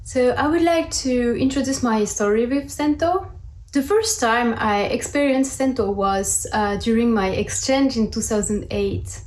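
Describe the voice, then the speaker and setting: A woman's voice: fairly high pitch, measured pace, darkish tone, roomy sound. A woman in her thirties from the south of France, with a French accent, giving a prepared presentation over a video call. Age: 30s. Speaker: guest speaker. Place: indoor room at home, on a video call. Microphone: video call microphone.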